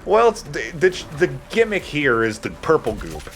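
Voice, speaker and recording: A man's voice: fairly high pitch, wildly swooping pitch, moderate pace, fairly bright tone, slight background noise. A man giving casual, jokey commentary while he plays a video game, swearing now and then. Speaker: let's play host playing the game. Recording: game music behind the voice. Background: video game music.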